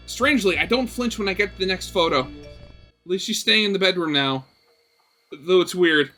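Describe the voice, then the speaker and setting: Male game narrator, bright forceful tone, expressive storytelling with informal asides, faint music bed. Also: high-pitched. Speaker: gaming video host reading a visual novel aloud. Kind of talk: dramatic story narration with casual commentary.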